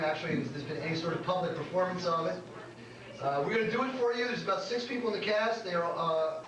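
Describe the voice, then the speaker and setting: A man's voice: sits high, background noise. A middle-aged man hosting a comedy show, rambling and joking as he introduces it informally to a live audience. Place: comedy club stage. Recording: echoey club recording from far off. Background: audience responses.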